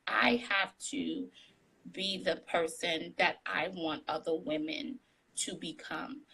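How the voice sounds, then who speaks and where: Candid woman guest, bright with some fry, lively, on a live video call. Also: medium pitch. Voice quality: describes her own voice as tiny. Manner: candid, animated, laughs often. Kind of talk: casual interview chat. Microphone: phone on a live video call.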